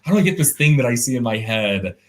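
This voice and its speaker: A young adult man with a deep, resonant voice, talking casually and thoughtfully over a video call, with fillers and restarts. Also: animated, fast. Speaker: artist guest. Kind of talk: casual conversational interview.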